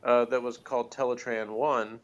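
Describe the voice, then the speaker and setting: A man's voice: medium pitch, little pitch movement, moderate pace. Muffled man, rambling and wry. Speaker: podcast co-host reviewing TV episodes. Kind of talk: casual chat.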